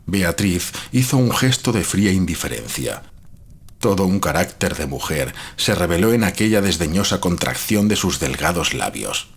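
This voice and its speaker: A man narrating a gothic horror tale as storyteller, with a grave, deliberate, literary delivery and a husky voice. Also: low-pitched, big pitch swings.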